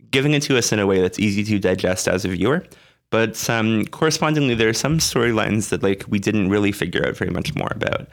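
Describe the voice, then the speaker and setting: Hoarse man with a low voice. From Canada. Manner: relaxed, thoughtful, many "um"s. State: hoarse, getting over a flu. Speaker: podcast co-host. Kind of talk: casual chat. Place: dark basement room.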